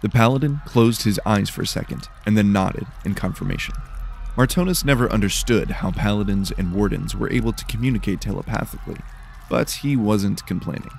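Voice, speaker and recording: A man with a slightly tired, worn voice reading scripted, dramatic dark fantasy narration. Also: fairly low pitch, fairly quick, creaky, slight background noise.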